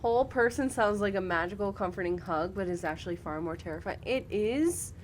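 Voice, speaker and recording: A woman's voice: medium pitch, lively intonation, fairly quick, a little hiss or hum. A woman giving casual, chatty live game commentary, talking informally to viewers and to the characters. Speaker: live streamer.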